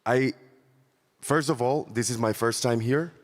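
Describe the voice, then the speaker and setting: A man from Panama speaking from the audience at a conference on a handheld mic, his voice raspy after a night out, cheerful and joking. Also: mid-range voice, measured pace. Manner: cheerful, joking, laughs between lines. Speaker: conference attendee. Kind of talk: casual remarks from the audience. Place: large conference hall. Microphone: handheld mic passed around. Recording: long hall reverb.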